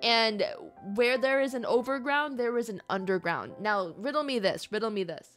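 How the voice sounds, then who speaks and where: A woman's voice: a little high, moderate pace, fairly bright tone. A woman livestreaming a game, talking in a playful, reactive, conversational way as she comments. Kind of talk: casual live gaming commentary. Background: faint game music.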